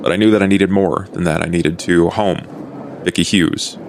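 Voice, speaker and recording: A man voicing a courteous, measured old vampire in a tabletop roleplay podcast, speaking formally and deliberately. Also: fairly even intonation, a little hiss or hum. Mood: measured, explaining. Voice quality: low, gravelly. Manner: formal, deliberate, understated phrasing.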